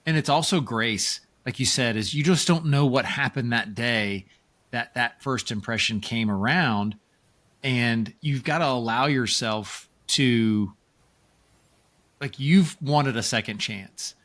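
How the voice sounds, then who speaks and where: A man hosting a podcast, asking questions in a brisk, conversational way. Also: mid-range voice, a bit bright. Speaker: podcast host. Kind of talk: interview questions and chat.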